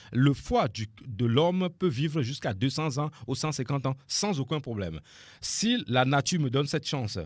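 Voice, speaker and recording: A man from Togo holds forth as a radio guest, hammering his points home with repetition and drawn-out hesitations. His voice sits at an ordinary middle pitch. The studio sound is close and dry, with no room around it. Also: no echo. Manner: emphatic, repetitive, preaching tone with frequent fillers. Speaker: nutrition guest on a sports radio show. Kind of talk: radio talk, advising listeners.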